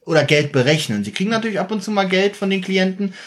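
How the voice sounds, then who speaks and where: A man's voice: high voice, expressive, fast. A man co-hosting a podcast, chatting casually and often breaking off to restart his thoughts. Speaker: podcast co-host. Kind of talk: casual chat.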